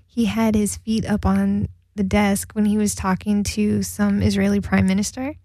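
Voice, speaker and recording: Chatty woman radio co-host, gravelly. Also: medium pitch, level tone, normal speed, warm tone, no echo. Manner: chatty, laughs often, reads listener emails. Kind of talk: casual radio chat.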